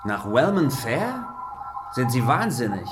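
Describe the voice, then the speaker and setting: An actor playing a scrawny, boyish scrapyard mechanic, brusque and suspicious, in a radio drama. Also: male voice, medium pitch, very animated, slow pace, noisy background. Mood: incredulous. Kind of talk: German radio drama acting. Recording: echoey space with music behind.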